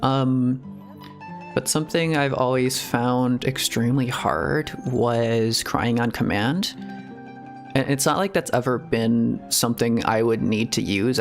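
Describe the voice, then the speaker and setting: A man speaks casually as he streams a game, with music playing behind him. His pitch sits in an ordinary male range. He rambles in a hesitant, confessional way and tends to chuckle at himself. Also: vocal fry. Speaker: streamer reacting to a video game.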